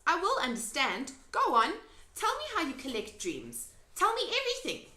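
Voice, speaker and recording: A woman's voice: high-pitched, wildly swooping pitch, normal speed, sharp tone, roomy sound, pitched above the performer's own voice, heavily acted. A woman voicing a curious, plucky little girl in a storybook, polite but insistent. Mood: insistent, pleading. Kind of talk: storybook character reading.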